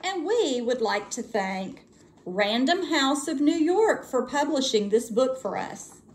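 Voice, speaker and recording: A woman reading a children's storybook aloud as a teacher would, with expressive, deliberate and dramatic phrasing. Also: high-pitched.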